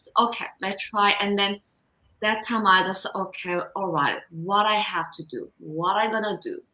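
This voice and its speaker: A woman in her thirties from South Korea, speaking English with a Korean accent, telling her story as a guest coach with lively, halting phrasing. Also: medium pitch, echoey room, low-quality recording. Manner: expressive, laughs often, halting non-native phrasing. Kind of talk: casual interview storytelling.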